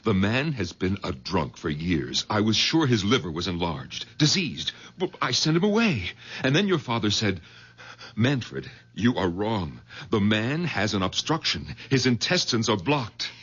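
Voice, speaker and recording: An actor playing an agitated doctor who talks excitedly and repeats himself in a 1970s radio drama. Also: male voice, fairly low pitch, unhurried, husky, heavily acted. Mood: intense. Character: agitated middle-aged doctor, husband, overwrought.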